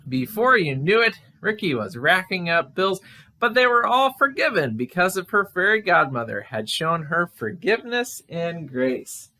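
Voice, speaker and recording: A woman narrating a puppet show story in an animated, children's storytelling style. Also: fairly low pitch, normal speed.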